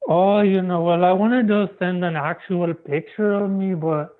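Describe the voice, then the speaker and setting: A man's voice: high voice, fairly even intonation, unhurried. A male caller on a call-in show, talking hesitantly and padding every phrase with "you know". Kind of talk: call-in phone chat. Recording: tinny phone line.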